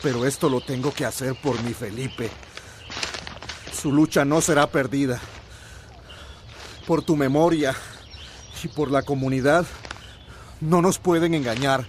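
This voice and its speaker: An actor playing a determined, grieving village activist in a radio drama, insistent and repeating himself. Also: male voice, mid-range voice, a little slow, long pauses, slight background noise, natural voice. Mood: resolute, brooding.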